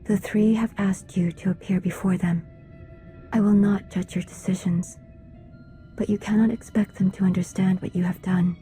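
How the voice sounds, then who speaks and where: An actress playing a solemn, reserved alien woman in a video game, speaking in a measured, formal way. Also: medium pitch, fairly even intonation, mellow, soft background music, lightly acted. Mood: grave, formal. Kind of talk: video game cutscene dialogue.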